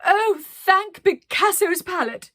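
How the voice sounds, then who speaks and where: A woman voicing a flamboyant, excitable woman artist with a high, breathy, theatrical voice in a children's storybook reading. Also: unhurried, no room sound, clean studio sound, exaggerated voice. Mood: gushing. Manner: overdramatic, calls friends pet names.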